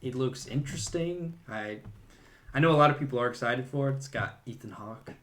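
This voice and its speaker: A man's voice: mid-range voice, brisk, fairly bright tone. A man co-hosting a podcast, talking casually in a film discussion. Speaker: podcast co-host.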